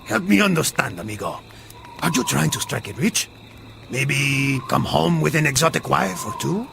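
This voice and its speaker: An actor playing a wry, exasperated Spanish mercenary in a video game, with a Spanish accent and a sardonic manner. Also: male voice, mid-range voice, normal speed, vocal fry, background noise. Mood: curious, teasing. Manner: sardonic, animated, peppers talk with Spanish words. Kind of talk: video game cutscene acting. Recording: loud music behind the voice.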